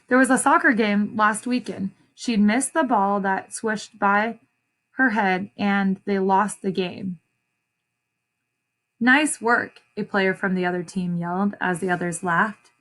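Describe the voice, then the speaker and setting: A woman reading a children's story aloud as an educator, pausing to ask questions and explain things to young listeners. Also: mid-range voice, a bit bright.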